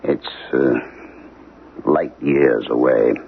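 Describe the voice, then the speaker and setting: A man acting a troubled, earnest professor in a 1970s radio drama, with a gravelly voice and a halting, brooding intensity. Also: a bass voice, steady tone, full character voice. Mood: brooding, dazed. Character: troubled archaeology professor haunted by an otherworldly memory, earnest, distracted. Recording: muffled narrow-band old radio broadcast.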